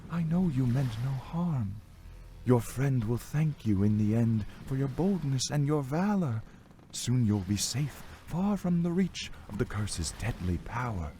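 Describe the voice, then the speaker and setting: A ghostly woman, acted by a man, speaks high and slowly. The manner is solemn and coaxing, with archaic words from a seafaring storybook. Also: animated, darkish tone, slight background noise, exaggerated voice. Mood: soothing. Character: eerie, soothing female ghost urging a sailor on.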